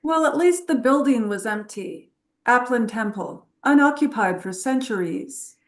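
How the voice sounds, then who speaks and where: A woman playing a flirtatious, knowing adventurer professor in a script table read, with a teasing, unhurried delivery. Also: fairly high pitch, animated. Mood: brisk.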